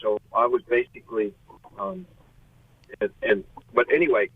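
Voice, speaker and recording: A man's voice: medium pitch, expressive, moderate pace, husky, dry recording. A man in his late sixties calling in by phone from a pulled-over car, talking in a rambling, earnest and candid way. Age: 60s. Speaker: caller. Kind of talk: call-in radio chat. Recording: dry tinny phone line from a car.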